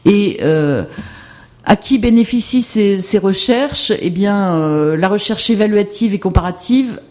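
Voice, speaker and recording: A woman's voice: medium pitch, animated, unhurried, darkish tone. Hesitant woman presenting research. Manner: hesitant, many fillers and restarts. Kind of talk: academic presentation.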